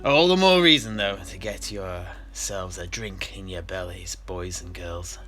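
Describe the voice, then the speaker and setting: A man's voice: low-pitched, a little slow, pushed and bright, pitched below the performer's own voice. A man playing a jovial, tippling fantasy tavern keeper, telling stories in character in a hammy, hesitant, musing way. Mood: genial explaining. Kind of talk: in-character theatrical fantasy storytelling. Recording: music behind the voice.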